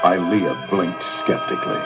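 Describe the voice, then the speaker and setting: Deep voiced guy narrating a story record, dramatic, loud music, kinda muffled. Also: measured pace.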